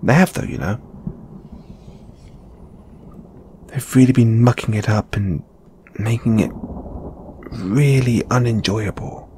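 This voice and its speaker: A British man voicing a shy, flustered lamia flatmate in an intimate audio roleplay, with stammering, hesitant, sighing delivery. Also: fairly low pitch, slight background noise, heavily acted. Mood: resigned.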